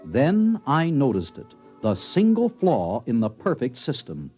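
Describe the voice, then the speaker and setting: An actor playing an earnest, anxious, by-the-book statistician from a regimented future in an old-time radio drama, precise and prone to stammer when flustered. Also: male voice, mid-range voice, wildly swooping pitch, a little slow, muffled recording. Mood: measured confession. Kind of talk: old-time radio drama acting and first-person narration. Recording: band-limited vintage radio with music.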